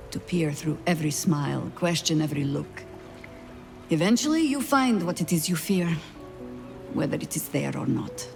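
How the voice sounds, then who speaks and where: A world-weary woman spymaster for a fantasy game. Her voice sits low, with a wry, guarded and formal manner. Soft music plays behind her. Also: creaky. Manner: measured, dry, formal phrasing. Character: weary, wary veteran spy woman, older, wry. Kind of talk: video game voice acting.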